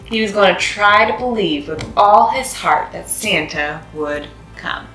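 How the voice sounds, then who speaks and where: A woman reads a children's story aloud with expressive narration. Her voice sits at a medium pitch in an echoey room, with music playing behind her. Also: measured pace. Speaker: read-aloud host. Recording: roomy echo, music behind.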